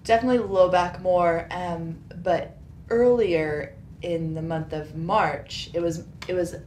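A woman's voice: lowish voice, steady tone, unhurried, darkish tone. A woman in her thirties speaking as a patient, giving a casual, detailed account. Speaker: patient, yoga teacher. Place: small carpeted treatment room. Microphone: boom microphone on a stand. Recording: roomy sound with background hiss.

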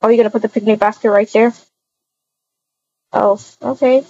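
A woman voicing a bubbly, excitable young woman in a voiced game roleplay, gushing and pleading on a dull lo-fi mic. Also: a little high, moderate pace. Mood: eager.

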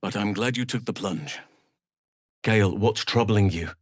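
Big elf druid, fantasy game, calm, earnest, measured, slow pace, dry recording. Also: male voice, on the low side, no room sound. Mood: calm, solemn. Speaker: video game voice actor.

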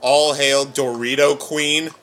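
A young man giving excited, exclamatory reaction commentary, recorded on a webcam in a living room. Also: mid-range voice, takes it slowly, soft background music. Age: young adult. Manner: animated, exclamatory. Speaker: reaction video host. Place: living room, seated on a couch.

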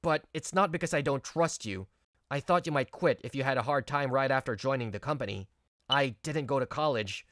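An actor playing a mild, earnest young male office worker in a manga story dub, speaking in a sincere, measured way. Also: mid-range voice, fairly bright tone, no echo, clean studio sound, lightly acted. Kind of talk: scripted manga story dub, narration and dialogue.

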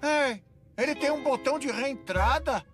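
A neurotic turtle from a cartoon, easily exasperated and forever complaining. The voice is whiny and sarcastic, with a Brazilian accent, over loud music. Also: male voice, very high-pitched, normal speed.

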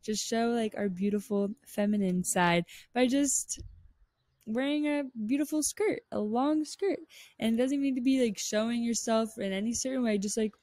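A woman's voice: fairly high pitch, normal speed, a little mellow, no room sound. Bubbly young woman, close mic, dry. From Pennsylvania, United States. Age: 20s. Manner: bubbly, upbeat, rambling with fillers. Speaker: podcast host. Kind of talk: casual chat. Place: rooftop terrace outdoors. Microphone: large desk mic held close, headphones.

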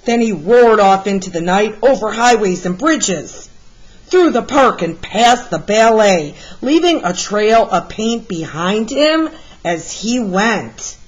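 A woman reading a picture book aloud to children in an expressive, deliberate storytelling style, like a teacher. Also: medium pitch, slightly rough. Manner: deliberate, with animated emphasis for young listeners. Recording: slightly muffled, lo-fi sound.

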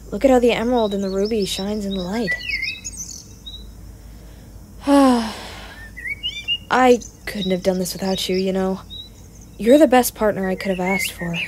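A woman playing a playful, excitable young male adventurer in an audio roleplay, chatty and expressive, affectionate with a partner. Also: medium pitch, noisy background, close to an everyday voice. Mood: relieved, fond. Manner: breezy, giggly, expressive, chatty.

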